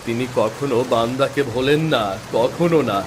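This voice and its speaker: A man's voice: mid-range voice, moderate pace, close to an everyday voice. A man voicing a poor, devout village father in a moral-story cartoon, earnest and reassuring. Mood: consoling, hopeful. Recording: clear rain noise behind.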